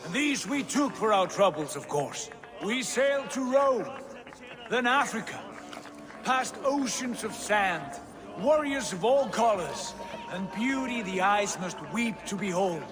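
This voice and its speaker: An actor playing a boastful, grandiloquent Viking lord giving a rousing feast-hall speech in a video game. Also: male voice, high voice, expressive, a little slow. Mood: proud, grand. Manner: grandiloquent, declamatory. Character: boastful Viking lord back from years of raiding. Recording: echoing hall, music underneath.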